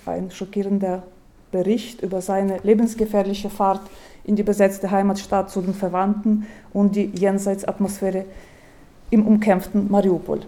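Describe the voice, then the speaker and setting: A woman giving a lecture, speaking in a measured way, with hesitations and restarts, and reading quotations aloud. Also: mid-range voice, level tone, mellow.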